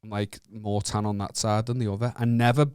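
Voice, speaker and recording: A man's voice: on the low side, a little fast, clean tone, no room sound, studio quality. A young northern English man telling a rambling, laddish story on a comedy podcast. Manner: laddish, rambling, deadpan asides. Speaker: podcast co-host.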